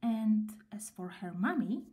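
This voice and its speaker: Lady reading a kids story, real slow and soft mellow voice, gentle n expressive. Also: mid-range voice. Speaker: storyteller reading aloud for children. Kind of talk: children's story read aloud.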